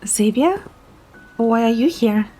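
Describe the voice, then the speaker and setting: High-voiced, tipsy, stubborn young woman played by a woman. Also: expressive, takes it slowly, dark tone, breathy edge, faint music behind, exaggerated voice. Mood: mildly tipsy. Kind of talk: romantic audio drama acting with first-person narration.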